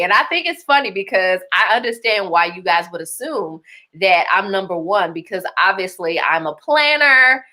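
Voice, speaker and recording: A woman's voice: fairly high pitch, sharp tone. A woman from Baltimore with a Baltimore accent, hosting a live stream in an upbeat, chatty way, laughing often and reading out viewer comments. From Baltimore, Maryland. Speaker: host.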